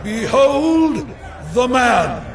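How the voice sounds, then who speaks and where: An actor playing a commanding, exasperated Roman governor in a dramatized scripture reading, with declamatory delivery. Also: male voice, very high voice, big pitch swings, drawn-out words, creaky, a crowd in the background, exaggerated voice. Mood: loud, insistent.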